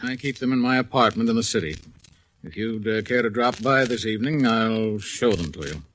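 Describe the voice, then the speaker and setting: Smooth-talking airport owner, somewhat low voice, 1940s radio drama. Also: male voice, fairly quick, a bit bright, rough recording. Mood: suave. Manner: suave, then snapping irritably.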